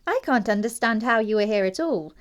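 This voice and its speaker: Woman playing a high-voiced pert young lady. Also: lively intonation, fairly quick, close to an everyday voice. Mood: prim, pert. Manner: earnest, precise, artless. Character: prim, naive yet pert young upper-class English girl. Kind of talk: stage comedy audio drama acting.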